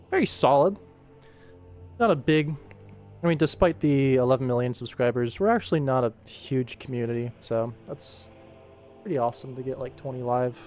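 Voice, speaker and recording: A man's voice: mid-range voice, a little mellow. A man hosting a casual livestream, thinking aloud in a laid-back way as he works. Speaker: animator, livestream host. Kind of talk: casual livestream work commentary.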